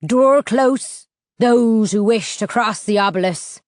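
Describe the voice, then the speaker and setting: Throaty rumbling croak, menacing scaly male ferryman, slow and drawn-out, put on by a woman reader, dry studio sound. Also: no room sound. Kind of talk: audiobook character voice.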